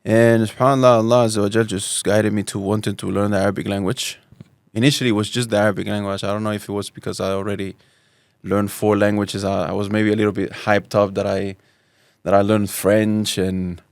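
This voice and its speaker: A man's voice: fairly low pitch, flat delivery, brisk, vocal fry, clean tone, dry recording, clean studio sound. Resonant-voiced man in his thirties from Spain. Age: 30s. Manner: thoughtful, with frequent restarts and Arabic phrases. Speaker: guest. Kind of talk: relaxed personal storytelling.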